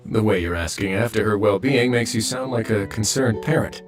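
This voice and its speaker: A man's voice: lowish voice, a little slow, faint music behind, close to an everyday voice. An actor playing a wry, easygoing male prison chief in a video game, casual and dryly teasing. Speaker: game character voice.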